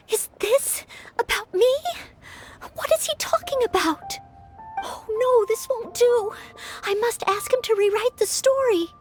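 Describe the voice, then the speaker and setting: A sweet young maid knight from a video game, earnest, modest and anxious to do right. The voice is very high-pitched and unhurried, polite and hesitant, with soft music underneath. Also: female voice. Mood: flustered.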